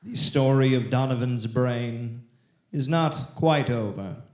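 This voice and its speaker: A man delivering a scripted old-time radio announcement in a measured, theatrical manner. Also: medium pitch, slight background noise. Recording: echoey stage, loud piano music behind.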